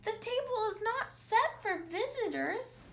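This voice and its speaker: A woman's voice: very high voice, expressive, a little slow, creaky, fairly bright tone, pitched above the performer's own voice, full character voice. A woman reading a storybook as a grumbly, disobedient schoolgirl with a whiny, protesting manner. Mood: pleading. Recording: faint background noise.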